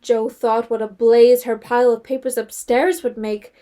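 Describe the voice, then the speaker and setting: High-voiced woman narrating a story. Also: flat delivery, moderate pace, warm tone. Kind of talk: scripted audio drama storytelling narration.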